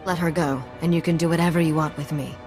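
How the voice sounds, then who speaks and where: A woman's voice: low voice, steady tone, fast, darkish tone, big-room sound, soft background music, close to an everyday voice. A woman playing a guarded, confident young smuggler's associate in a video game, controlled and matter-of-fact. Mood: defiant.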